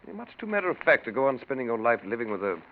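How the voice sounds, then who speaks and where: A man's voice: medium pitch, sing-song, racing. A man acting an exasperated, concerned brother-in-law in urgent, dramatic 1940s radio style. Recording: noisy, muffled 1940s radio recording.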